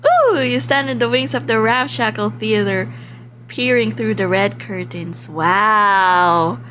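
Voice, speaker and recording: A woman live streaming a game in a casual, excitable, giggly way, reading text aloud and reacting expressively as she goes. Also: mid-range voice, takes it slowly, slight background noise. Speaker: livestream host.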